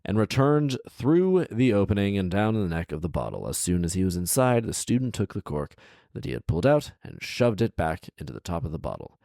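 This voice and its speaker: A man's voice: lowish voice, sing-song, a little fast, vocal fry, dark tone, dry recording, studio quality. A man hosting a storytelling show, reading aloud and breaking into informal, sarcastic commentary. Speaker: storytelling podcast host.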